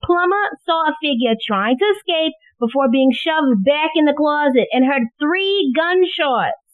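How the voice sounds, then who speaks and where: High, thin-sounding eager young detective's assistant woman. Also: animated, a little slow, no echo. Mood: earnest. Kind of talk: theatrical audio play acting. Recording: thin, narrow phone-like sound.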